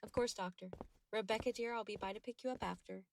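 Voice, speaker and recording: A woman plays a motherly institute attendant in a horror audio play. She talks fast at a medium pitch, with clear noise in the background. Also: steady tone, natural voice. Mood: brisk, kindly. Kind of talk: horror audio drama acting.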